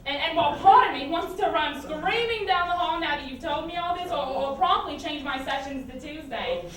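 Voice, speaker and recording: Actress as a high-voiced flustered neurotic woman, echoey stage. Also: animated. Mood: flustered, guarded. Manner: flustered, stammering, halting. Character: neurotic, guarded, flustered female therapy patient. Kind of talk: comic stage play acting. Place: stage performance at a college, distant microphone. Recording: echoey hall from far off, noticeable noise.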